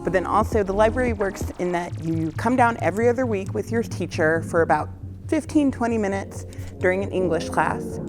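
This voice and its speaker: A woman who is the school librarian, giving friendly but firm rules to students in a school announcement video. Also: mid-range voice.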